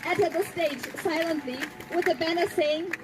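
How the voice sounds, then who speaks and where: High-pitched woman giving a speech. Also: background noise. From Germany. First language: German. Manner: earnest, pointed, slows down for emphasis. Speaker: activist speaker. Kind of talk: prepared speech to an audience.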